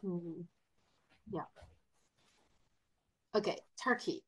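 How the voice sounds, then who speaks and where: A woman hosting an interview, moving between topics with relaxed fillers. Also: lowish voice, extremely slow, breathy edge. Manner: relaxed, filler-heavy transitions. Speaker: interview host.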